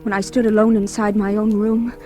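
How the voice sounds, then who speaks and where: An actress playing a fragile, panicked young woman in an old radio horror play, breathless, halting and repeating herself. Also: medium pitch, level tone, close to an everyday voice. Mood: rising panic. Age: young adult. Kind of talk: 1940s radio horror acting, monologue. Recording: old radio drama with music underneath.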